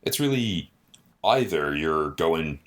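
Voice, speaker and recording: A man with a deep voice co-hosting a podcast in a studio, talking earnestly in casual chat and often adding "you know" and "right?". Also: expressive, takes it slowly. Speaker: podcast co-host.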